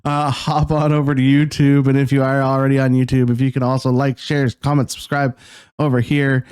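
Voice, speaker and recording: A man from Canada, co-hosting a podcast in a halting casual chat, often laughing. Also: medium pitch, flat delivery, darkish tone, clear, resonant tone, dry recording, studio quality. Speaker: podcast co-host.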